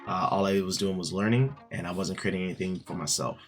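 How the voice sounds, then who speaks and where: A man reviewing an art book in a casual vlog, his voice raspy from just waking up, rambling with many fillers and restarts. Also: sits low, quick pace. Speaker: art YouTuber. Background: soft background music.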